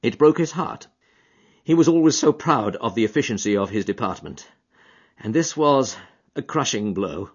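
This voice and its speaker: A man reading an audiobook voices a grieving, shaken colonel who speaks haltingly and earnestly. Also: medium pitch, lively intonation, slightly rough. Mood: distressed. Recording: dull lo-fi audiobook recording.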